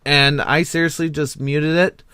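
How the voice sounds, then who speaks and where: A man's voice: fairly high pitch, level tone, unhurried, bright, forceful tone, clean tone, no room sound, studio quality. A man hosting a casual online panel chat in a joking, rambling way. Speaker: host of an online panel discussion.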